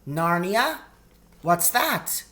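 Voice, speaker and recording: A man's voice: high-pitched, big pitch swings, extremely slow, sharp tone, voice pushed up, heavily acted. A man voicing a polite, puzzled, innocent little girl in a storybook reading. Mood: puzzled, amused.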